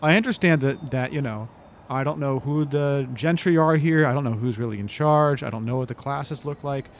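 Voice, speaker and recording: A man with an average-pitched voice plays a fantasy tabletop game. He reasons aloud in character, casual and halting, with clear noise in the recording. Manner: hesitant, thinking aloud in first person as his character.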